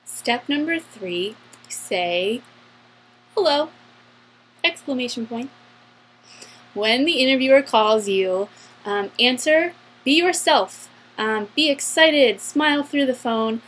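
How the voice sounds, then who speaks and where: High-voiced young woman, chatty and slightly husky. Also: expressive, slow, pauses often, fairly bright tone, rough recording. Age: young adult. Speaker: vlogger giving interview advice. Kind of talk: casual advice vlog.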